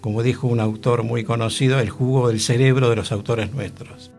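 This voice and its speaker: A man's voice: mid-range voice, fairly even intonation, brisk, creaky, a little mellow, faint music behind. An older man with a Rioplatense accent hosting a radio show, explaining theater history with frequent tag questions. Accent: Rioplatense Spanish. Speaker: radio host.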